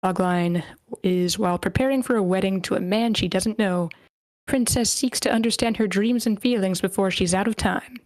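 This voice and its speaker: A woman's voice: medium pitch, moderate pace, a little mellow, no echo. A woman casually hosting an online script table read, with a playful manner.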